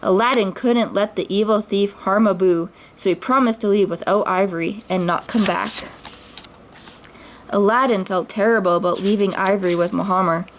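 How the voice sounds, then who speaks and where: A mother reading a children's storybook aloud in a gentle voice, with small slips and restarts. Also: medium pitch, normal speed, slight background noise, poor audio.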